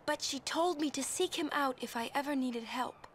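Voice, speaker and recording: Soft, high-voiced gentle young woman. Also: normal speed, a little hiss or hum. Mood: shy, reflective. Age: young adult. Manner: soft, hesitant, careful. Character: gentle, reserved, sincere young woman summoner. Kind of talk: video game voice acting.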